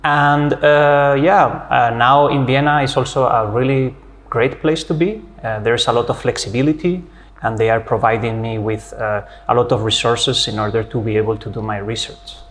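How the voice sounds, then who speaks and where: A man's voice: medium pitch, steady tone, moderate pace, a little hiss or hum. A middle-aged man from Spain with a Spanish accent, a physics professor answering interview questions in a friendly, explanatory way with frequent hesitations. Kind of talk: academic interview conversation.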